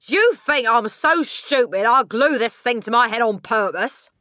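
A woman voicing a bad-tempered, blustering middle-aged English husband who shouts and splutters in an audiobook. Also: extremely high pitch, lively intonation, measured pace, no echo, studio quality, voice pushed up, full character voice. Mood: angry, indignant. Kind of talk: dramatised audiobook character.

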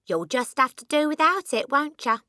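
A woman's voice: high voice, very animated, moderate pace, pushed and bright, no room sound, studio quality, pitched above the performer's own voice, exaggerated voice. A woman voicing a scolding, scornful middle-aged English wife who is bossy and nagging, in an audiobook. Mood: irritated. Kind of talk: dramatised audiobook character.

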